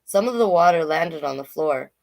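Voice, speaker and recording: A woman's voice: low-pitched, lively intonation, moderate pace, creaky, a little mellow. A woman hosting a storytime, reading aloud to children and chatting to listeners with questions and asides. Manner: conversational, addresses listeners with questions.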